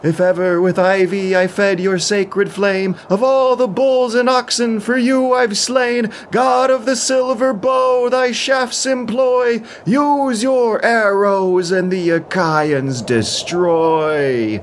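A man's voice: high voice, fairly even intonation, slow, hardly any pauses, slight background noise, pitched above the performer's own voice. A man playing a pleading old priest in an epic audio drama, speaking in a formal, declamatory, imploring way. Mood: fervent prayer. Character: frightened, pleading old priest begging a king and god.

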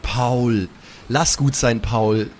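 A man's voice: mid-range voice, fairly even intonation, extremely slow, slight background noise. A man playing a slangy, casual superhero dude who mixes English slang into his talk in an improvised role-play. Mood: exasperated. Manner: colloquial, English slang mixed in. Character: slangy, law-abiding young male superhero surfer dude.

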